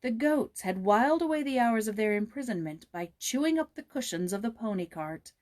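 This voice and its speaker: A woman narrating a children's classic aloud as an expressive audiobook reader. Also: a little high, a little fast.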